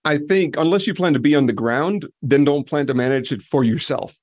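A man from Denmark who runs a property management company, explaining in a relaxed, practical way with examples in a podcast interview. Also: fairly high pitch, brisk, no echo.

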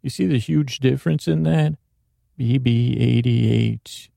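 A man hosting a sleep podcast, talking in a slow, rambling, meandering way that drifts off topic and keeps interrupting itself. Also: mid-range voice, vocal fry, warm tone, no room sound, studio quality. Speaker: podcast host. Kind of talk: rambling bedtime monologue.

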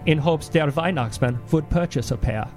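A man playing a solemn, gloomy German cultural ambassador with a German accent, giving a dramatic comic reading and pedantic deadpan explanations. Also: fairly high pitch, normal speed, close to an everyday voice. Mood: ominous. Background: music behind the voice.

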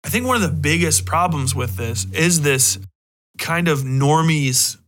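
A man with a somewhat high, bright and forceful voice holds forth as a podcast host. He is casual and opinionated, putting things bluntly and swearing freely in loose conversation. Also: animated, measured pace. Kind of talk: casual opinionated discussion of video games.

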